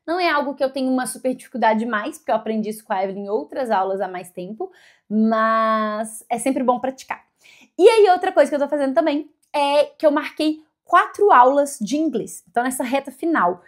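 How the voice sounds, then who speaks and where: A woman from Brazil giving a casual vlog update, chatty, with many fillers and self-corrections. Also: on the high side. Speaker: vlogger.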